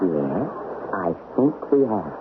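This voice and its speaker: A woman's voice: rumbling low, very animated, slow pace, full character voice. An actress playing an enigmatic, assured lady in a radio drama, theatrical and teasing. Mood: knowing, teasing. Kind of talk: radio mystery drama acting. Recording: muffled, noisy old radio broadcast.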